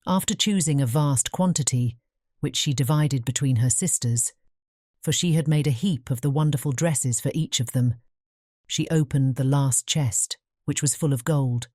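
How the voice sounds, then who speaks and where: Woman narrator, very low pitch, dark mellow tone, fairy-tale audiobook, dry close recording. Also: moderate pace, no echo.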